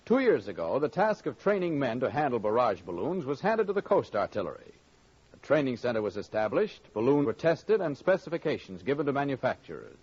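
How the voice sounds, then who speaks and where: This is a dull vintage radio recording of a male announcer. He has a husky voice and lively intonation, delivering scripted 1940s broadcast copy. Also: mid-range voice.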